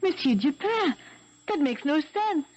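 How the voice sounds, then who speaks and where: A brave young fiancée from a 1970s radio mystery, speaks with theatrical poise and spirit. Her high voice is very expressive, heard through a slightly dull vintage broadcast. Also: unhurried. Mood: spirited, insistent.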